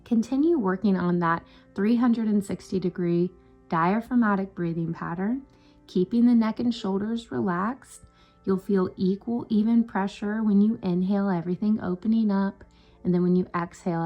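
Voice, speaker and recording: A woman meditation coach leading a guided breathing session in a soothing, unhurried, instructional way. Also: medium pitch, lo-fi sound. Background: meditation music.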